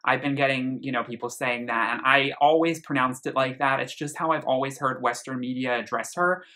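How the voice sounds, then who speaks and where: Bright-voiced chatty man reviewing music. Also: mid-range voice, steady tone, a little fast. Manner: chatty, frequent fillers and restarts; enthusiastic, gushing. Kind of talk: casual album review vlog.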